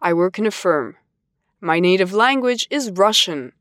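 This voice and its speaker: This is a woman voicing lines for a language course. She speaks at a very slow, deliberate pace and pronounces each word with care. The recording is close and dry. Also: mid-range voice, lively intonation, a bit bright, no echo. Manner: slow, deliberate, carefully enunciated. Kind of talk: scripted textbook dialogue reading.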